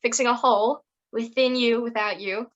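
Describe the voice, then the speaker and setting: Woman podcast co-host; giggly, chatty, slightly high voice; dull lo-fi sound. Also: fairly even intonation, normal speed, a bit bright. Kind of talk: casual interview chat. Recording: dull lo-fi audio.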